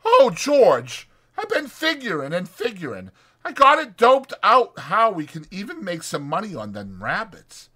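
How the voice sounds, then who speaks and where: A man's voice: high voice, wildly swooping pitch, a little slow, few pauses, bright, forceful tone, pitched above the performer's own voice, heavily acted. A man voicing an anxious, kindly old ranch hand with folksy rural speech in a dramatized audiobook. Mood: eager, folksy. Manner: folksy rural American dialect.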